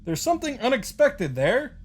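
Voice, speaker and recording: A man's voice: very high voice, wildly swooping pitch, normal speed, husky. A man reading a visual novel story aloud as a host, in a dramatic narrating style.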